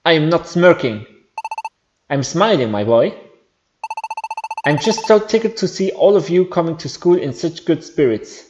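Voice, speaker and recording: A man's voice: on the high side, animated, measured pace, long pauses, reverberant, dull audio, noisy background. A man voicing a courteous, cheerful older school principal in a video game, avuncular in manner. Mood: genial.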